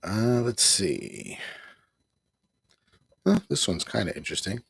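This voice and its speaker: Gravelly low-voiced man chatting on a livestream. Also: animated, slow pace, dry recording. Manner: rambling, repeats himself, chuckles often. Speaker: livestream host. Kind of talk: casual live broadcast chat. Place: at home.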